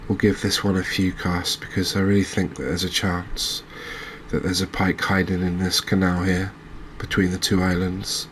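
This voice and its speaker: A man giving quiet, unscripted ASMR-style game commentary, unhurried and thinking aloud with pauses. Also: low-pitched, completely flat delivery, slight background noise. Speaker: gaming video host.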